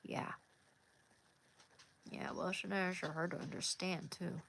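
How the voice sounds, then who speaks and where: A woman's voice: lowish voice, steady tone, unhurried, creaky, a bit bright, a little hiss or hum. A woman from Oregon hosting a casual livestream, chatting easily with viewers and answering their comments by name. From Oregon, USA. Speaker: livestream host. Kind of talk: casual live chat reading comments.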